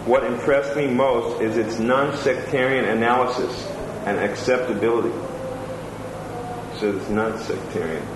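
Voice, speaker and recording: A man's voice: mid-range voice, measured pace. A man reading letters and reviews aloud, plain and attentive, adding his own asides. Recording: roomy room with clear background noise.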